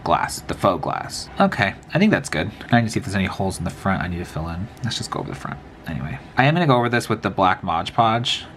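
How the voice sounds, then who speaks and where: A man's voice: low-pitched, big pitch swings, fast talker, hardly any pauses, fairly bright tone, a little hiss or hum. A man from the American Midwest, sniffly with a cold, talking to himself in a casual crafting vlog as he works. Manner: chatty, self-talking, rambling asides while working. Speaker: miniature crafter.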